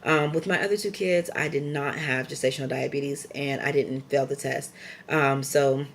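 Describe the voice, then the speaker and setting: A young woman with a scratchy, raspy voice from a lingering cold, talking casually in a rambling vlog update full of ums and asides. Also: rumbling low, fairly even intonation, normal speed. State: recovering from a cold. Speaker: vlogger.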